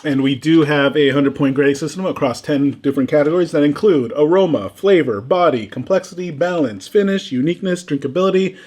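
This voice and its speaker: A man's voice: a little high, a little fast, hardly any pauses, a little mellow, a little hiss or hum. A man co-hosting a casual spirits review podcast, joking and laughing between his points. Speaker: podcast co-host.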